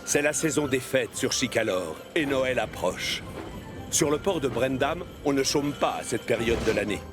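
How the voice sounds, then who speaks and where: A man's voice: mid-range voice, animated, moderate pace, music in the background, natural voice. A man acting as the storybook narrator of a children's cartoon, reading scripted narration. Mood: storytelling.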